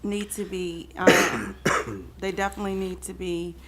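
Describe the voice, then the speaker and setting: A woman council member making unscripted remarks at a meeting in a halting, hesitant way. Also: on the low side, flat delivery. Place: public meeting room. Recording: faint room noise.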